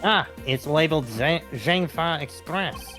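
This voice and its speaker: A man's voice: fairly high pitch, expressive, unhurried, bright, forceful tone, soft background music. A man voicing a plain, helpful, deferential subordinate in a comedic game dub. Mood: eager, dry.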